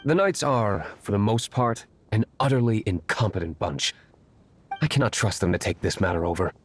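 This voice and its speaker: A man's voice: fairly low pitch, moderate pace, slightly rough. A man playing a brooding, aloof young nobleman and secret vigilante in a video game, speaking sternly and formally with curt disdain. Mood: cool disdain.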